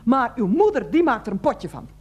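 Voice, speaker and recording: An actress playing an older, self-righteous head of a residents' association in a radio play, wheezy, formal and repetitive. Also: female voice, a little high, very animated, brisk, a little mellow, breathy edge. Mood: indignant. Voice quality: wheezy, asthmatic breathing. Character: fussy, indignant older woman heading a residents' association. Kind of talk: Dutch radio play acting.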